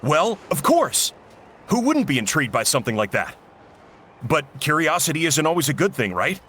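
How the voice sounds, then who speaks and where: A jovial uncle cook from a video game, chatty and a little dramatic. His voice rings bright and swings lively up and down, over faint game noise. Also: a little high, moderate pace. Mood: hearty. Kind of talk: game voice acting.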